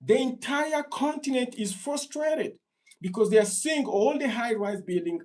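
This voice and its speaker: A man from Congo hosting a live stream Q&A, giving earnest, repetitive immigration advice to callers and rising in pitch when worked up. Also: animated, unhurried, a little mellow.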